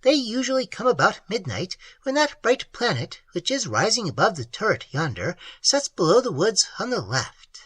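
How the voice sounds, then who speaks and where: A man's voice: sits high, huge pitch swings, normal speed, hardly any pauses, a bit bright, slightly rough, no room sound, voice pushed up, heavily acted. A reader voicing an elderly, widowed, superstitious village man who speaks earnestly in old-fashioned phrasing. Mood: earnest, uneasy. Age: older. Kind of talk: audiobook character reading.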